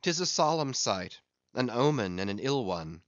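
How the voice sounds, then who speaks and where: A man's voice: mid-range voice, big pitch swings, moderate pace, no echo, close to an everyday voice. A man voicing a grave, cautious first mate on a ship, speaking solemnly, on a dull lo-fi audiobook recording.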